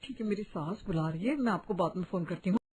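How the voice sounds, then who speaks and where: A woman's voice: lowish voice, fast talker, darkish tone, slightly rough. A woman voicing a prim, disapproving married woman who gossips and laments dramatically in a Hindi moral-story cartoon.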